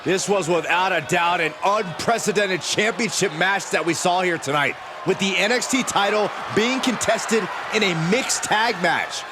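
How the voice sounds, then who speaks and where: Casting: actor for an excitable game wrestling announcer, high-pitched, loud and emphatic, over clear arena noise. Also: male voice, normal speed, hardly any pauses, lightly acted. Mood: emphatic, excited. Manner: shouted, emphatic. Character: excitable, dramatic male wrestling play-by-play announcer. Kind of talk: sports commentary in a video game.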